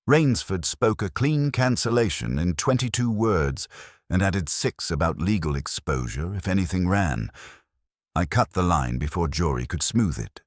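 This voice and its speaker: A man's voice: rumbling low, no echo, clean studio sound. A man narrating a first-person detective mystery story from a script. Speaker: audiobook narrator.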